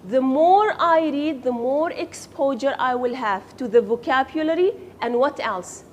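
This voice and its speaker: A woman's voice: high-pitched, a little slow, slight background noise. A woman whose first language is Arabic, teaching a class and quizzing her students, then praising their answers. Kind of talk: classroom lecture with questions.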